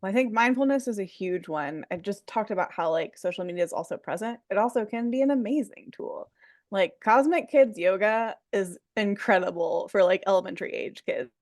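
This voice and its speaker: A woman's voice: medium pitch, a little fast, darkish tone, no echo, studio quality. A woman counselor, a guest on the show, talking casually and with enthusiasm, full of "like" fillers. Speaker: mental health counselor. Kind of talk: interview guest.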